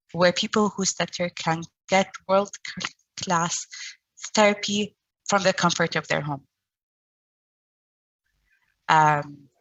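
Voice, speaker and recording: A middle-aged woman who stutters, giving an online presentation as founder of a stuttering support group, with pauses and repeated sounds. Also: fairly low pitch, sharp tone, dry recording, muffled recording.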